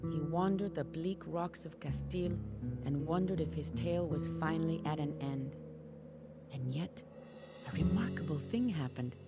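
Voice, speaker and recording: A low-pitched actress voicing a medieval noblewoman narrates a game cutscene like a storybook. She is solemn and measured, recounting her knight husband's saga. Loud music plays behind her. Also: lightly acted.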